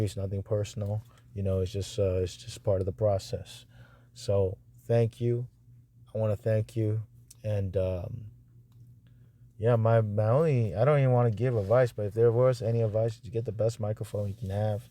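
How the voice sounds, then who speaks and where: A man talking casually and off the cuff as he reviews narrator auditions, halting and repetitive, with a reassuring manner. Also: lowish voice, warm tone.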